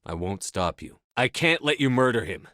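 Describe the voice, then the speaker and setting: A man acting in a video game as a commanding, resolute space soldier, speaking firmly with measured authority. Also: mid-range voice, big pitch swings, a bit bright, dry recording, studio quality. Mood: firm, persuasive. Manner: firm, authoritative, measured delivery. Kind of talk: scripted game dialogue acting.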